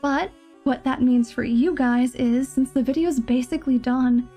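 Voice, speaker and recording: A woman's voice: high voice, normal speed, dark tone, faint music behind. A woman with a nasal, slightly stuffed-up voice from a cold, giving listeners a casual update as a channel host. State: mildly sick with a cold.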